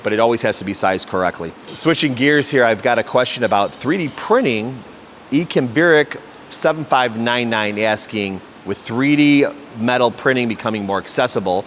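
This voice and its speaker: Male host, mid-pitched, conversational tradesman explainer for casual Q&A; light background noise. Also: fairly bright tone. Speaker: host, exhaust shop fabricator. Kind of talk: casual question-and-answer explainer.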